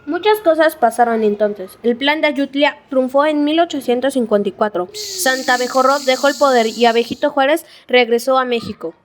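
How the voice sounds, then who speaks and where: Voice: high voice, normal speed, a little hiss or hum. A child reading scripted narration for a school audio drama.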